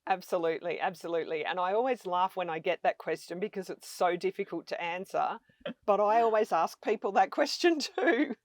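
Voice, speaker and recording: An Australian woman in her fifties tells her stories in an eager, conversational way. Her voice sits on the low side, and the recording is dry and close. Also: brisk, no echo. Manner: chatty, enthusiastic storyteller. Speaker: travel vlogger guest. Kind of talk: casual conversation.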